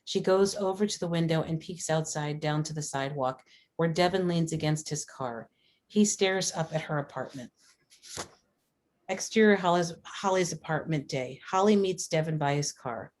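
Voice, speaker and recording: Female narrator, very low pitch, measured delivery, scripted screenplay directions. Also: darkish tone.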